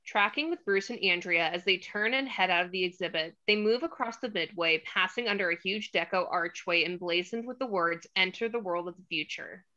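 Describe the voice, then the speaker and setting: Bright-voiced woman narrating a script. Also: lowish voice, fairly quick, hardly any pauses, dull audio. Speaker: narrator reading stage directions.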